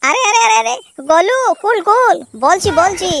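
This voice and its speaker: A performer voicing an excitable, crude petty crook in a slapstick cartoon, exclaiming and repeating words. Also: extremely high pitch, normal speed, gravelly, a little hiss or hum, exaggerated voice. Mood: excited banter. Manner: exclamatory, repetitive, swearing, bantering. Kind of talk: comic cartoon dubbing in colloquial dialect.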